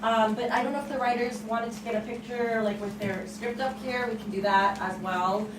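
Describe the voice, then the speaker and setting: A woman's voice: on the high side, fairly even intonation, moderate pace. A woman hosting an event, giving informal, rambling announcements to an audience with some hesitation. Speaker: event host. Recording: echoey room from far off, noisy.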